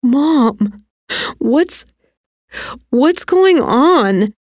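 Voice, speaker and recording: A woman's voice: medium pitch, lively intonation, slow pace, darkish tone, no echo, studio quality, pitched above the performer's own voice. A woman voicing a frightened, bewildered young boy in an audiobook story. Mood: scared, confused.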